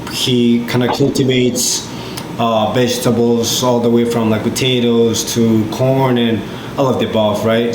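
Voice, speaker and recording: Young man from Peru, rambling. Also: medium pitch. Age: 20s. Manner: rambling, many fillers and restarts. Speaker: podcast guest. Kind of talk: casual storytelling. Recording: echoey room with loud background noise.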